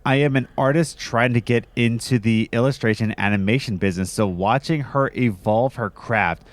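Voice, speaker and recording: A man hosting a podcast, hoarse from a cold, chatting loosely with lots of fillers and restarts. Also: medium pitch, normal speed. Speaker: podcast host. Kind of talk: casual podcast chat.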